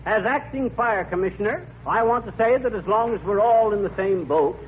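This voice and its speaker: An actor playing a pompous, blustering small-town fire commissioner who speechifies in mangled clichés, in 1940s radio comedy style. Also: male voice, high-pitched, moderate pace, natural voice. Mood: grandly pompous. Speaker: comedy lead addressing a meeting. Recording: muffled vintage radio, faint noise.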